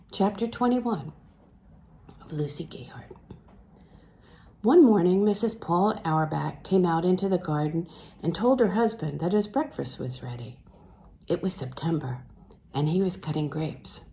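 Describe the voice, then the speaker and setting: A woman's voice: sits low, warm tone. A woman reading a novel aloud as scripted narration. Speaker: audiobook reader.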